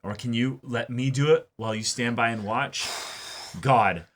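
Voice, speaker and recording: Bright, forceful-voiced man, excitable and halting. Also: medium pitch. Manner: halting, repeats words, excitable, swears. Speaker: reaction show co-host. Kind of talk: casual episode review chat.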